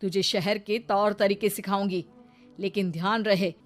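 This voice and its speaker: A woman voicing a haughty, scolding modern middle-aged mother-in-law in an animated story, sprinkling in English words. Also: mid-range voice, moderate pace, faint music behind, lightly acted. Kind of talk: animated moral story acting.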